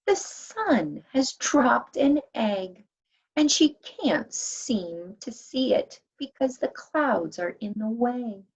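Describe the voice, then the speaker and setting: A woman voicing an excitable, curious little girl elf in a children's storybook, earnest and exclaiming. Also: a little high, slow pace, slightly rough, pitched above the performer's own voice, exaggerated voice, poor audio. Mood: excited.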